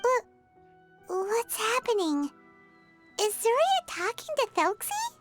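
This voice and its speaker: Squeaky childlike fairy, very animated, dramatic, game dialogue, prominent music behind. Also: female voice, takes it slowly, heavily acted. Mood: excited. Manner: eager, dramatic. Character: small excitable fairy companion, childlike and eager.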